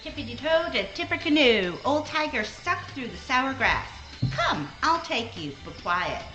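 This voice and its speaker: A woman playing a small bird guide in a hushed, deliberate manner, in a roomy live radio play. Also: high voice, big pitch swings, faint music behind, exaggerated voice. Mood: hushed, secretive. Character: quiet, sly little bird guide.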